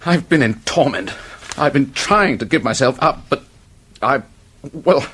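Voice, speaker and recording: An actor playing a remorseful, middle-aged English office man confessing to murder, halting and stammering, in a radio drama. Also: medium pitch, animated, a bit bright, slightly rough. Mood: shaken, confessing. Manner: halting, stammering, old-fashioned public-school phrasing.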